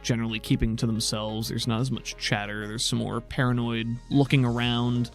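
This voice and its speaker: A man's voice: mid-range voice, little pitch movement, normal speed, soft background music. A man acting as game master, narrating an improvised tabletop game in a conversational, descriptive way.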